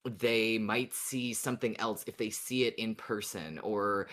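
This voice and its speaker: Mid-pitched podcast co-host, musing casually. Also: fairly even intonation, unhurried, a bit bright. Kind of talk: casual speculative fan chat.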